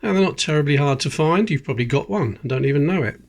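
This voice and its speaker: A British man with a fairly high voice chats casually as he sorts coins at a table, calling out dates one after another. Faint music plays behind him. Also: expressive, fast talker, gravelly. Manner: chatty, reads out dates as he goes. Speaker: coin collecting channel host. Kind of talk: casual commentary while sorting coins. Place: indoors at a table. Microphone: close camera, hands-only framing.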